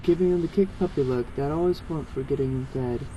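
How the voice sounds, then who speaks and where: A man chatting casually and reading aloud haltingly, stumbling and going back over words, over an internet voice call. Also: fairly high pitch, highs cut off. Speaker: participant in a group fan fiction reading. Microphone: internet group voice call. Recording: noisy online voice call.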